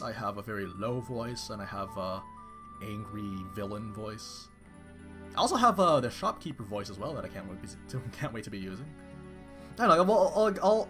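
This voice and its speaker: A male streamer talks casually to his viewers while playing, his pitch leaping up and down in a lively, animated way. He rambles excitedly and keeps restarting his sentences. Faint game music plays underneath. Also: fairly quick.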